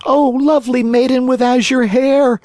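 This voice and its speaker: A man voicing a frightened wooden puppet boy who pleads in a high, crying voice. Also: fairly even intonation, moderate pace, a little mellow, no room sound, clean studio sound. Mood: pleading.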